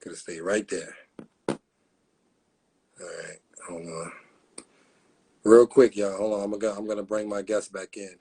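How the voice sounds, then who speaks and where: A man hosting a live stream, talking in a laid-back casual way and backing his guest up with steady agreement. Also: fairly low pitch, unhurried, creaky, pauses often, breathy edge.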